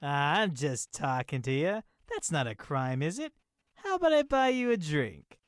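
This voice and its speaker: A man's voice: on the high side, very animated, moderate pace, no echo, studio quality. A man voicing a smarmy, pushy guy who hits on women, read aloud from a visual novel. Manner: smarmy, insistent. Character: sleazy pushy man hitting on women at club.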